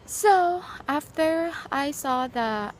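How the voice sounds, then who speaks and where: Earnest high-voiced Thai-accented woman. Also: animated, slight background noise, low-quality recording. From Thailand. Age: 40s. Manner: earnest, halting, frequent fillers and restarts. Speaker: nurse sharing exam experience. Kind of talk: casual personal vlog, storytelling and advice.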